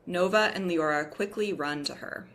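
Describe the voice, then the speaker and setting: A woman with a lowish voice narrates a script at a table read. She reads out the stage directions between the character parts. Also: moderate pace, fairly bright tone.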